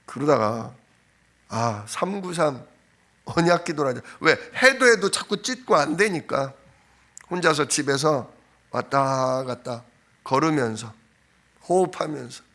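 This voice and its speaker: A man preaching in a conversational style, telling a personal story informally, slightly hoarse from a cold. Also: a little high, lively intonation, measured pace, long pauses, fairly bright tone. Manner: anecdotal, informal storytelling with frequent fillers. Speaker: pastor. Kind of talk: conversational sermon. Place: church sanctuary.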